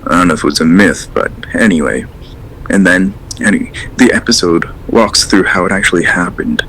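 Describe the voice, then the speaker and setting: Guy playing a rambling radio caller, deep male voice, halting and earnest, noisy audio. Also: a bit bright. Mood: earnest, absorbed. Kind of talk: audio drama, naturalistic phone-in call.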